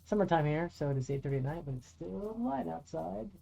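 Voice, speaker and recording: Middle-aged guy painting minis on stream, super dark mellow voice, swears a bit, dull lo-fi sound. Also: on the high side, fairly quick, creaky. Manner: relaxed, rambling, swears casually, talks to chat. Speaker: streamer and dungeon master. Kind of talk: casual live-stream chat while painting miniatures. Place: home room by a window. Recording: dull lo-fi audio with faint music.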